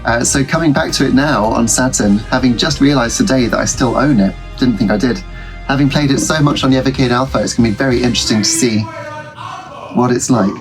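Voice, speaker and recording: A man's voice: medium pitch, fairly quick, poor audio. Man, blocked-up nasal voice from a cold, easygoing let's-play chatter, game music behind. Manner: chatty, rambling, with frequent ums and restarts. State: congested from a cold. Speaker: host of a retro gaming channel. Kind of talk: casual let's-play commentary.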